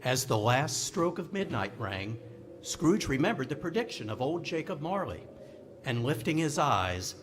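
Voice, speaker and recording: A man narrating a stage radio play with solemn, deliberate dramatic storytelling. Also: mid-range voice. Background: music.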